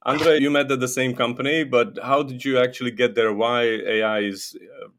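A man's voice: medium pitch, steady tone, normal speed, fairly bright tone. A man hosting a podcast interview, asking his guests questions in a friendly, upbeat way. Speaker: podcast host.

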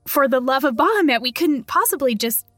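A woman plays an earnest young adventurer in a tabletop game. The voice is high and idealistic, recorded close and dry. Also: lively intonation, brisk, sharp tone, no echo, soft background music. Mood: determined, pleading.

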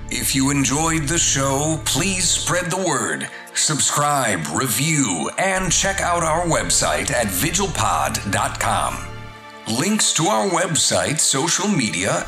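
A man reading podcast credits in a measured, deliberate way. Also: mid-range voice, reverberant. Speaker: credits announcer.

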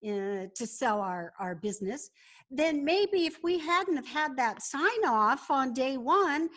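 A woman with a somewhat high-pitched voice speaks as a podcast guest. She gives business advice conversationally, going through it in an instructive, methodical manner. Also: expressive, slow, a bit bright.

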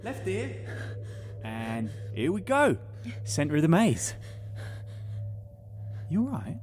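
A man's voice: on the high side, wildly swooping pitch, takes it slowly, darkish tone, a little hiss or hum, heavily acted. A man playing a cheeky, flippant British teenage lad in an audio drama, teasing as he talks. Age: young adult. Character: cheeky teenage lad working at a theme park. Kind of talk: naturalistic audio drama dialogue.

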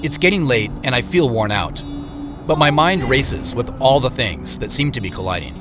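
An actor as an anxious, expressive man with music behind. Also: mid-range voice, normal speed, close to an everyday voice. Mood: weary, brooding. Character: anxious, remorseful man chasing a mystery, narrating his story. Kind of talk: audio drama dialogue and first-person narration.

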